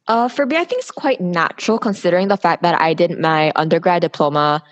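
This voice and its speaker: A woman's voice: lowish voice, brisk, highs cut off. A woman in her twenties from South Korea, speaking candidly and with opinions as a guest in a casual interview, explaining herself at length. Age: 20s. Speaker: podcast guest, public policy student.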